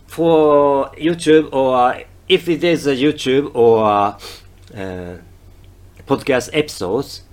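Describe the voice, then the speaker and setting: A man in his fifties speaking English with a Japanese accent in a solo podcast, halting, with many fillers and restarts, stressing his key words. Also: medium pitch. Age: 50s. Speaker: podcast host.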